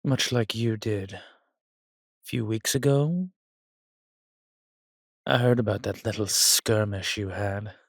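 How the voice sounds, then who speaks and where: A man's voice: lowish voice, vocal fry, no room sound, studio quality, exaggerated voice. An actor playing a suave, menacing male vampire in a roleplay audio, teasing and theatrical, flirtatious and possessive. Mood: mocking, threatening. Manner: slow, teasing, theatrical, speaks in endearments. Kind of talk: roleplay audio acting addressed to the listener.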